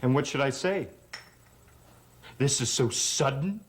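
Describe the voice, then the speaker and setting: An actor playing a bitter, high-strung young stepson in a 1970s TV soap opera, sarcastic and resentful. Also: male voice, expressive, a little slow, slight background noise, close to an everyday voice.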